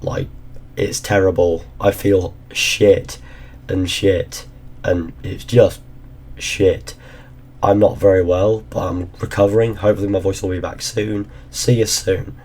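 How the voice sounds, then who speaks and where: A man with a hoarse, fading voice, talking through a casual gaming countdown in a rambling, informal way. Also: deep voice, flat delivery, unhurried, a little mellow. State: hoarse, losing his voice. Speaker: gaming YouTuber. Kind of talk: casual ranking commentary.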